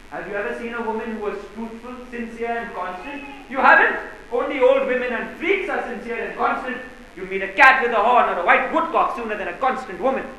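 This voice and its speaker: In a very reverberant room, an actor plays a blustering gentleman creditor in a stage farce. His voice is high and forceful for a man, sarcastic and declamatory as he bullies and scorns. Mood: scornful. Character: blustering, hot-tempered, misogynist gentleman creditor. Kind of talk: theatrical stage farce acting. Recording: very echoey room, faint noise.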